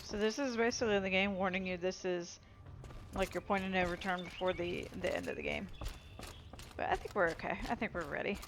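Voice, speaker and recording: A woman's voice: medium pitch, steady tone, fairly quick, a little hiss or hum. A woman giving casual commentary as she plays a video game. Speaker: video game let's player. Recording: loud game music underneath. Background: game music.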